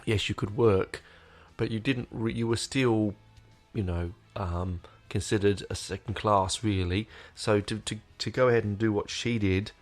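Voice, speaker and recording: A man with a somewhat low, nasal voice hosts his podcast in a loose, rambling way, leaning on fillers and restarting his sentences. He is still getting over an illness, and faint music plays quietly underneath. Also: steady tone, unhurried. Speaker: podcast host. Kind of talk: casual podcast talk. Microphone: desk microphone.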